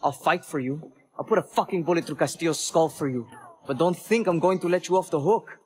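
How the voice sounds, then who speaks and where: A man's voice: on the high side, a little fast, slightly rough. An actor playing a tough, grudging, fatherly guerrilla fighter in a game cutscene, blunt and stern. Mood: stern resolve. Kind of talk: video game cutscene acting. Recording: faint background ambient noise.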